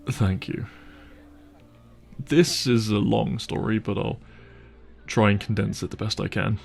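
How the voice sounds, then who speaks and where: Man acting as caring rich boyfriend, audio roleplay, very slow pace, gravelly creak, gentle, hesitant, apologetic. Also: fairly low pitch, fairly even intonation. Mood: earnest, explaining. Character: wealthy, caring young boyfriend confessing his secret.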